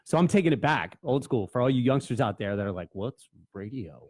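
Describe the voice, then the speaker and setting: A man's voice: mid-range voice, expressive, fairly quick, no room sound. A man hosting a radio show, chatting informally and joking with his guest. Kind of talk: casual chatty radio interview.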